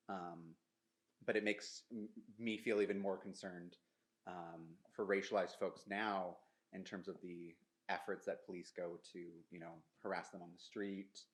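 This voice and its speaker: A man speaking reflectively in a group discussion, tentative and searching for words. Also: deep voice. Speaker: panelist.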